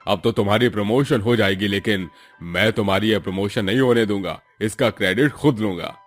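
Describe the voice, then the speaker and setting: Man playing a gloating, greedy villain boss, low voice. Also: a little fast, close to an everyday voice. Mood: false praise. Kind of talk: Hindi cartoon villain acting. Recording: music bed behind the voice.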